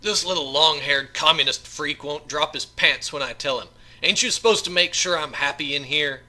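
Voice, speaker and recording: A man's voice: on the high side, normal speed, sharp tone, voice pushed up. A man voicing a demented convict assassin in an audiobook, crude, bragging and taunting like a predatory bully. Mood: whining.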